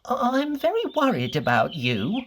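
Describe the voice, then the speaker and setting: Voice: medium pitch, huge pitch swings, unhurried, a little hiss or hum, pitched above the performer's own voice. A storyteller voicing an anxious, fretful little man with a pleading manner in a children's bedtime story.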